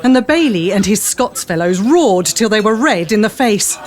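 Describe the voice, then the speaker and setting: A woman narrates a tale for an audio drama. Her voice sits at a medium pitch as she delivers scripted storytelling prose. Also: lively intonation, normal speed.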